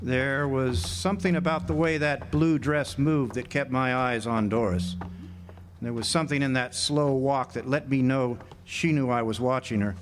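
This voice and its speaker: A man's voice: medium pitch, normal speed, close to an everyday voice. An actor playing a wry, hard-boiled drifter who narrates his own tale in a live noir radio drama. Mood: brooding, wry. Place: live theater stage. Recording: noisy live amphitheater stage recording.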